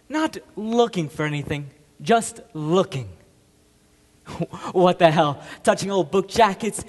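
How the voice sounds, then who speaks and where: In a big reverberant hall, a performer plays a wistful older professor in a theatrical monologue. He rambles reflectively, gently amused, in a fairly high male voice. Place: large echoing room, performing to an audience.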